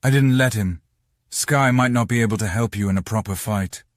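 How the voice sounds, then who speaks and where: A man's voice: fairly low pitch, moderate pace, dry recording, clean studio sound, natural voice. A man playing a young fantasy commander, a teasing and protective older brother, in an audiobook. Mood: low, stern. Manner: teasing, smirking. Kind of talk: audiobook character acting.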